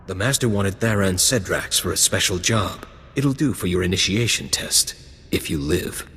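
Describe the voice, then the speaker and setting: An actor playing a gruff, blunt gang lieutenant recruiting for a criminal crew in a video game. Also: male voice, sits low, normal speed, a bit bright, long reverb tail, full character voice. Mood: commanding. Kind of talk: video game dialogue acting.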